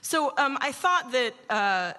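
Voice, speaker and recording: A woman hosting a conversational live interview, asking questions in a tentative, halting way. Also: high voice, expressive, bright, forceful tone. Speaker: radio show host interviewing. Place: large hall, live radio show. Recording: reverberant live hall.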